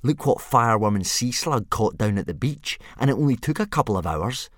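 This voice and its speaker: A man's voice: medium pitch, lively intonation, fairly quick, no echo, clean studio sound, voice pushed up, lightly acted. A man voicing a swaggering Viking boy bully with a mocking, sneering manner.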